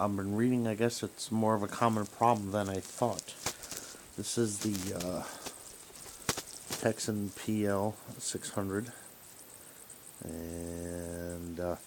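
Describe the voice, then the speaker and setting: A man talking casually and haltingly as he rambles through a hobbyist's gadget troubleshooting demonstration. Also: on the low side, darkish tone. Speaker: radio hobbyist. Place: small room at home, indoors. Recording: noisy home recording with background hiss.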